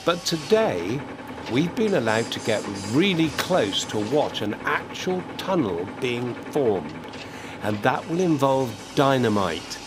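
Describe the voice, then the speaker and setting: Man narrating with very animated, swooping intonation. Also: medium pitch, slow, background noise. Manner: theatrical, addresses the listener directly. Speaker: podcast host and narrator. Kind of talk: scripted narration, staged as an on-location report.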